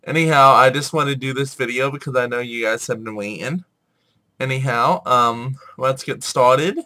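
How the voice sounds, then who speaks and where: A man's voice: medium pitch, normal speed, bright, forceful tone. A man hosting a casual explainer video while he has a head cold and a sore throat, talking in an informal, apologetic way. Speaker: video host.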